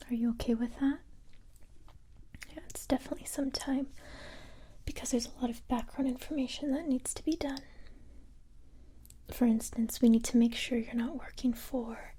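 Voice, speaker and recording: A woman playing a calm, confident special-forces police recruiter in a soft-spoken ASMR roleplay, whispering breathily in a gentle, unhurried, matter-of-fact way. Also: on the high side, a little hiss or hum, natural voice.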